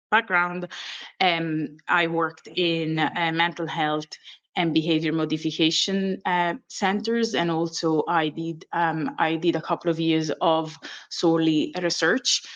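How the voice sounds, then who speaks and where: A woman with an Italian accent speaks in a low register. She presents in an informal professional style, hesitating and correcting herself as she goes. The recording is slightly muffled and lo-fi. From Italy. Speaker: training and development manager presenting. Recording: dull, lo-fi audio.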